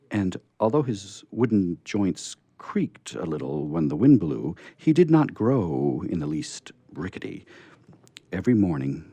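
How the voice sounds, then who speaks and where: A man hosts and narrates stories for radio. His voice is low and mellow, and it swings widely in pitch as he stresses words dramatically. The delivery is that of someone reading aloud. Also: creaky, no echo. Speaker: radio show host and story reader. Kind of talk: scripted story narration with hosting remarks.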